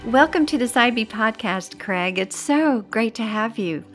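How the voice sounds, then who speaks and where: A woman's voice: on the high side, lively intonation, a little slow, a bit bright, soft background music. Woman hosting a podcast. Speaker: podcast host. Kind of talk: scripted intro then interview.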